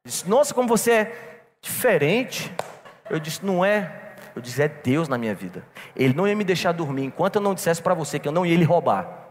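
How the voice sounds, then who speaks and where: A man's voice: a little high, big pitch swings, brisk, slight background noise. A man preaching a sermon to a church congregation, telling a personal story and calling them brothers. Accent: non-local Brazilian. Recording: long reverb in a church hall.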